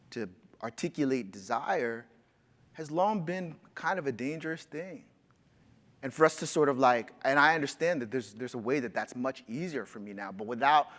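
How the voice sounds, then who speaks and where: A man's voice: medium pitch, fairly bright tone, big-room sound. A man on a writers' panel, searching for words with restarts and bursts of laughter. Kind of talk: panel discussion. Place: conference stage.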